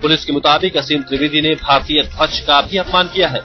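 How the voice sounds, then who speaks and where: Male reporter voice-over, fairly high pitch, dramatic scripted delivery over prominent music. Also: fairly even intonation, brisk. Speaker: news reporter. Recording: dull broadcast audio with music. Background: loud music.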